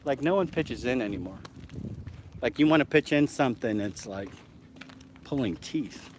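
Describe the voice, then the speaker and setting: A middle-aged man from Alaska hosting a casual live-stream vlog, excitable and chatty with lots of fillers, filmed outdoors on a handheld camera. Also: mid-range voice, moderate pace, background noise. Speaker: vlog host.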